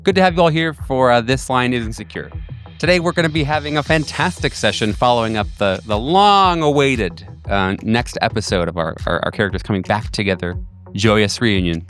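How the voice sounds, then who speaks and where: A man hosting and narrating a tabletop horror game as game master, often pausing between phrases. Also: mid-range voice, lively intonation, a bit bright. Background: background music.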